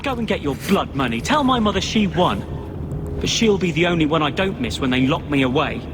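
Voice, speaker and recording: A high, animated young elf runaway in a fantasy video game. He is indignant and wounded, bitter and defiant, with clear ambience behind him. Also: brisk, background noise. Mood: resigned, bitter.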